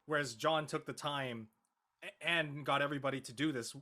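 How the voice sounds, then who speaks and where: Bright-voiced, earnest man chatting. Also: fairly high pitch, moderate pace. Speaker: actor guest on a web show panel. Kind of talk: casual chat.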